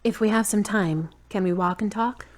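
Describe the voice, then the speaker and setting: A woman's voice: medium pitch, normal speed, darkish tone, lightly acted. A woman playing a frank, caring friend with a conversational, matter-of-fact way of talking, in an audio drama. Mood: tired, casual. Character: frank, caring woman, tired but gently teasing. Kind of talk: hobby audio drama acting.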